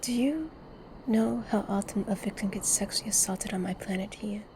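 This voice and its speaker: A woman's voice: mid-range voice, moderate pace, background noise, close to an everyday voice. A woman playing a blunt, earnest and wounded heroine in an audio drama. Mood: curt, angry. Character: blunt, intense, wounded heroine, protective of victims. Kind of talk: fan audio drama acting, dramatic monologue.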